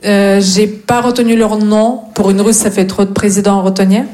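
A young woman from Russia with a Russian accent, doing a stand-up set and teasing the audience deadpan. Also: mid-range voice, moderate pace, very noisy. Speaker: stand-up comedian. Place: comedy club stage. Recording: echoey club with crowd laughter. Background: audience laughter.

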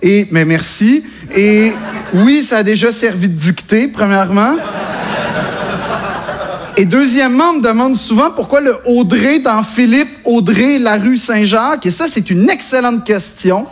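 A man's voice: very high-pitched, expressive, measured pace, a crowd in the background. A young Québécois man doing a self-mocking stand-up comedy set on stage, chatty and full of hesitations. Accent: Québécois French. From Quebec, Canada. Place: stage before a live audience. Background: audience laughter.

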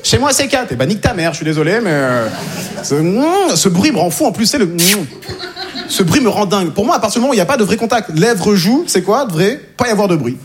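Guy doing standup, quick high voice, slangy, repeats himself, crowd in the room. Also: sing-song. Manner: casual, slangy, repeats phrases. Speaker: stand-up comedian. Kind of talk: stand-up comedy storytelling. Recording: live comedy room with audience.

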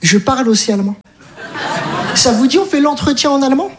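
A woman with a high voice delivers a stand-up routine. She tells her story in an animated way and jumps into the other people's lines. The live recording has noticeable noise underneath. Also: fairly quick. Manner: animated storytelling, acting out dialogue. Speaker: stand-up comedian. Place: comedy stage.

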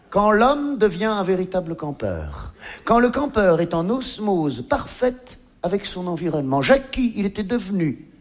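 A man performing a comic monologue, conversational and mock-earnest, often interrupting himself as he tells his story. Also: high-pitched. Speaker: stand-up comedian. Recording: clear background noise.

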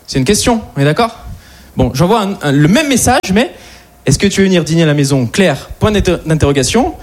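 Male stand-up, high and very animated, live room with crowd roar and noise. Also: fairly quick. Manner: animated, addresses audience directly. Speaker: stand-up comedian. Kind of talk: stand-up routine. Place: comedy stage before audience.

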